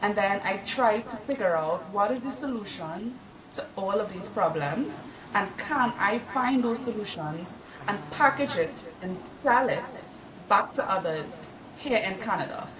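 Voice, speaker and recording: A woman from Trinidad and Tobago, a podcast guest explaining her consulting work in a measured, earnest way. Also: medium pitch, expressive. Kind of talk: casual interview. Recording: roomy, distant mic with crowd noise.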